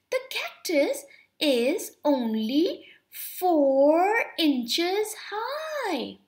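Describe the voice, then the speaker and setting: A young woman reads a children's picture book aloud expressively and deliberately, drawing out words. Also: sits high. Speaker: storybook reader for children.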